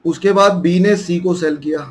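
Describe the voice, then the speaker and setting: A man's voice: sits high, steady tone, moderate pace. A young man with an Indian accent and a sore throat, teaching a math lesson in a coaxing way and calling his students children.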